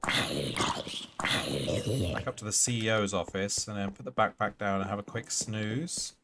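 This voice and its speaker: A man hosting a gaming livestream, hoarse and clearing his throat, casually muttering to himself and chatting to game monsters. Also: on the low side, steady tone, moderate pace, background noise. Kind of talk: casual live gameplay commentary.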